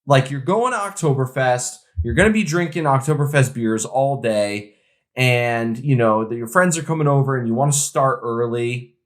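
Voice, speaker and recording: A man's voice: medium pitch, normal speed. A man co-hosting a podcast in casual chat, informal and jokey with exclamatory phrasing. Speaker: podcast co-host. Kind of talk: casual chat about beer.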